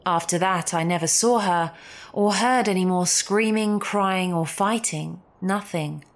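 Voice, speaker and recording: A woman's voice: on the low side, a little slow. A woman reading a first-person story as a scripted narrator. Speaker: narrator reading listener stories.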